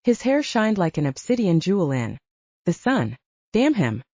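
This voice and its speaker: Low, mellow woman narrating romantic fiction. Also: sing-song, moderate pace, no room sound, muffled recording. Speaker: audiobook narrator.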